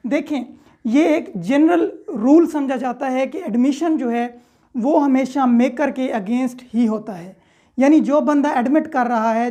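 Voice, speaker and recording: A woman's voice: high voice, warm tone. A woman with a Pakistani accent giving an explanatory law lecture, repeating and rephrasing her points in a didactic way. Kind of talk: explanatory lecture mixing Urdu and English legal terms.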